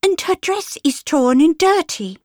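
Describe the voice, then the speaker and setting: A woman's voice: extremely high pitch, expressive, unhurried, darkish tone, dry recording, studio quality, pitched above the performer's own voice. A woman doing a prim, well-off little girl. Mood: curious.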